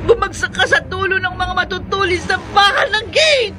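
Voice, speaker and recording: Shrill, hysterical pleading woman. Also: moderate pace, over a noisy crowd. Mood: hysterical alarm. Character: terrified pleading woman, criminal's hysterical girlfriend. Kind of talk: melodramatic horror radio acting.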